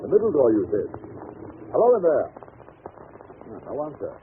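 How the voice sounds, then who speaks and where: A brisk, commanding detective from a vintage radio drama. He reasons with deliberate authority and animated intonation. The old recording sounds tinny, with a faint layer of noise. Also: medium pitch. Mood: urgent, commanding.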